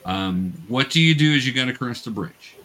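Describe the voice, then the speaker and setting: Bright-voiced man, patient game master. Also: medium pitch, lively intonation, moderate pace, rough recording. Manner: hesitant, explanatory, patient with players. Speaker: game master teaching young players. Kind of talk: casual tabletop game narration and coaching.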